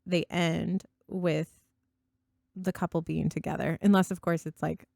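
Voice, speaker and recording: A woman's voice: lowish voice, little pitch movement, a little slow, gravelly, a little mellow, no room sound, clean studio sound. A woman co-hosting a casual book podcast, speaking in an enthusiastic, effusive way. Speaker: podcast co-host.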